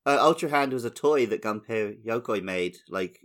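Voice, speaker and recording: A man's voice: medium pitch, expressive, normal speed, a bit bright. A man co-hosting a podcast, talking casually about video games in conversation. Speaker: podcast host. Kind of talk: casual gaming podcast discussion.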